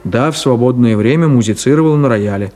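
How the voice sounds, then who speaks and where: A man's voice: mid-range voice, quick pace, warm tone, natural voice. An actor playing a cynical, detached middle-aged man in a 1970s Soviet radio play, with an ironic, clipped theatrical delivery. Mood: defensive, irritated.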